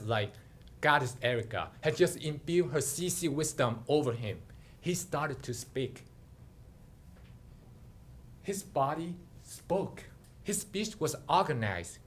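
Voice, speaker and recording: Slow, very animated male storyteller. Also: on the high side. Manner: theatrical, deliberate phrasing. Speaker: club speaker telling a story. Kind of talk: dramatic storytelling speech.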